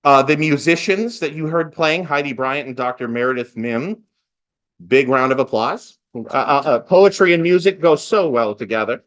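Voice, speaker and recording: A man's voice: fairly high pitch, moderate pace, fairly bright tone. A man speaking as host of a live event, giving a part-scripted welcome speech with lightly joking asides. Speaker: event host, master of ceremonies.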